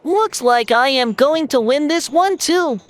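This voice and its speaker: A woman voicing a slow, high-pitched teasing kid. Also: animated. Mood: taunting. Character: Pat, mischievous, teasing young schoolboy. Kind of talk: children's cartoon story acting.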